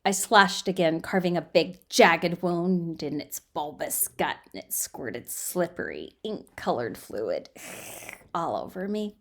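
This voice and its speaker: A woman reading a story aloud as an amateur narrator, in an expressive, emphatic storytelling manner with occasional stumbles over words. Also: fairly low pitch, slow pace. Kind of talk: dramatic audiobook-style fiction narration.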